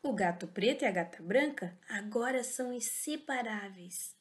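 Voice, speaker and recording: A woman teacher giving a slow, animated storybook reading for small children, in a Brazilian Portuguese accent. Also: a little high. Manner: sing-song, exaggerated expressive reading. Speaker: teacher reading a picture book.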